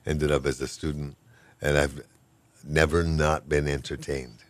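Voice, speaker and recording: An older man with an extremely deep, low voice talks in a relaxed, rambling way. He is good-humored and self-deprecating, a professor chatting through an interview. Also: measured pace, no echo. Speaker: professor of Hawaiian language. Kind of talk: casual interview talk.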